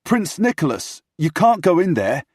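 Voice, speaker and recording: A man performs an anxious male secretary to royalty, his voice jumping high and husky. He is urgent and deferential, pleading in audiobook character dialogue. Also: big pitch swings, normal speed, darkish tone, no room sound, clean studio sound. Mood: frantic pleading.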